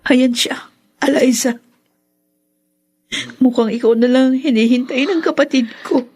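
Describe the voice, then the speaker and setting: A woman's voice: high-pitched, steady tone, measured pace, darkish tone, soft background music. A woman playing a grief-stricken sister in a radio drama, distraught and crying over her brother. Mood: anguished.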